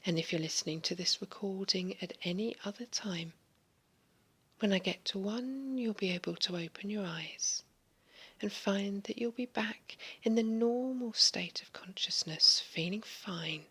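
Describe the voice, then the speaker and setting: A woman guiding a hypnosis session in a slow, soothing, largely whispered voice, giving relaxation suggestions. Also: medium pitch, a bit bright. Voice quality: breathy. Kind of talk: guided hypnosis recording.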